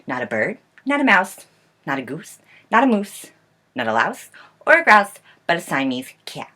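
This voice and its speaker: A woman's voice: fairly low pitch, very animated, moderate pace, sharp tone, voice pushed up, exaggerated voice. A woman voicing a stern mother cat from a children's story, lecturing emphatically in a sing-song list. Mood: stern, scolding.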